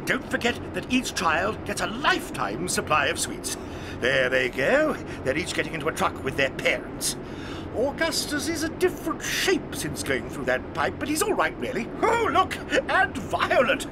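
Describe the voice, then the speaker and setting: An actor playing an eccentric, excitable old male chocolate maker in a full-cast audio drama. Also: high-pitched, moderate pace, noisy background, exaggerated voice. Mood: excited. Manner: excitable, theatrical, lively swings.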